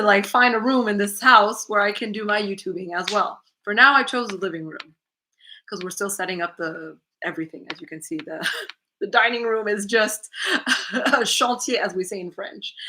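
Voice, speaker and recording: Bright-voiced chatty woman on a livestream. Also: normal speed, a little hiss or hum, rough recording. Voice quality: lower-voiced. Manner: candid, chatty, laughs often, trails off. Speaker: YouTuber hosting a livestream Q&A. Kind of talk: casual livestream chat reading comments. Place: living room at home.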